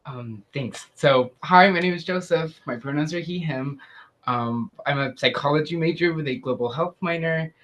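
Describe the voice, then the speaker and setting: A man's voice: a little high, moderate pace, a bit bright. A young man from Syria answering committee questions, earnest and hesitant, with many fillers. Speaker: student applicant.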